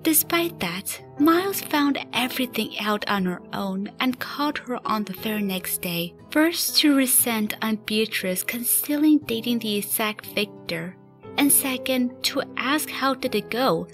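High-voiced woman narrating a story. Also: lively intonation, unhurried, fairly bright tone. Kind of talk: scripted story narration for a fan radio play. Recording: faint music behind. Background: faint background music.

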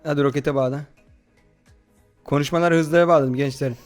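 A man hosting a horror game playthrough in slangy, excitable, casual chat, often addressing his viewers. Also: a little high, normal speed, faint music behind. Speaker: gaming video host.

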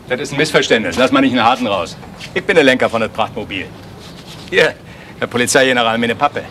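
Cocky slangy fixer, high voice, faint music. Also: male voice, a bit bright, a little hiss or hum. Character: cocky slang-spouting man, show-off fixer.

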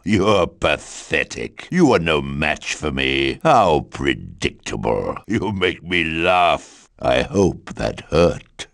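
A proud, taunting older supervillain in a video game. The voice is very deep and unhurried, swooping through a wide range as he mocks his foes. It sits dry and close, with no room around it. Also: gravelly, no echo, exaggerated voice. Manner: haughty, taunting, theatrical. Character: arrogant mutant supervillain, older man, commanding. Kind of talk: game voice clips.

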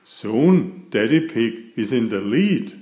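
Male storyteller for small children, unhurried and animated, roomy sound. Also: medium pitch. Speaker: picture book reader and author. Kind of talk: slow read-aloud storytelling for young children.

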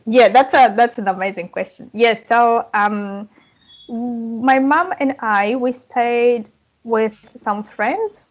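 A woman's voice: fairly high pitch, slow. A woman in her thirties from southern Ukraine, a native speaker of Russian and Ukrainian, talking informally as an interview guest over a video call. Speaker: podcast guest, language coach. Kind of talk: casual interview chat. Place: indoor room, video call. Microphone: earphone headset on a video call.

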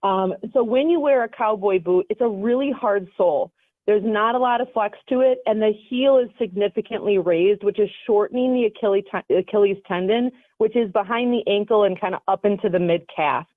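A woman guest on a radio show giving casual, energetic advice, talking over a phone line. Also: mid-range voice, fairly quick, dry recording. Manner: energetic, chatty, self-correcting. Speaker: radio show guest, foot health coach. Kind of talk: casual explanatory radio talk.

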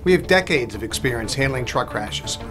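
A man's voice: mid-range voice, lively intonation, fairly quick. Older man reading an ad over music. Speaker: lawyer in an advertisement. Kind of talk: scripted ad read.

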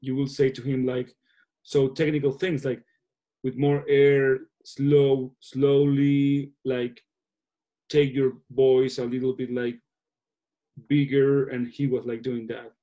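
Mellow-voiced man, halting English. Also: medium pitch, flat delivery, highs cut off. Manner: halting, searching for words. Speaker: filmmaker being interviewed. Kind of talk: casual Q&A on a video call. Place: small room at home. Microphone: laptop video call.

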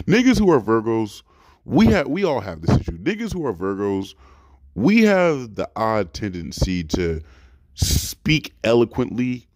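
A young man with a deep, very low voice talks straight into his desk mic from a small dark room, and the sound is dry and close. He rambles in an animated, emphatic way, swearing freely as he reacts in casual commentary. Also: no room sound. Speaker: reaction host.

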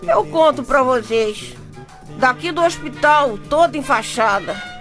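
A woman's voice: sits high, lively intonation, unhurried, a bit bright, soft background music. An actress playing an exasperated, gossipy Brazilian bricklayer's wife who chattily narrates her misfortunes in a radio soap. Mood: chatty, confiding. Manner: chatty, addresses listeners directly. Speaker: narrator and character. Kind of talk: radio soap opera acting, chatty storytelling.

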